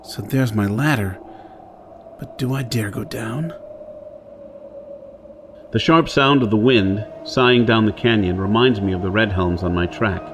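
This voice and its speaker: A man's voice: fairly low pitch, measured pace, long pauses, background noise, natural voice. Man acting rugged wary outlaw in pulp drama. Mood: wary wonder. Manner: theatrical, intense, talking to himself. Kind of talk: audio drama acting with first-person narration.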